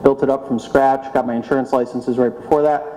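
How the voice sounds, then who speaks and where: Mellow man speaking in a reverberant hall. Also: medium pitch, fairly even intonation, brisk, slight background noise. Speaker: panelist, insurtech founder. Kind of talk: panel talk.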